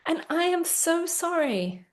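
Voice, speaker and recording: A woman therapist guiding a tapping exercise, explaining gently with frequent fillers, then leading phrases to repeat. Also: extremely high pitch, sing-song, slow pace. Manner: gentle, instructive, many fillers. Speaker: therapist and coach. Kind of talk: guided tapping session.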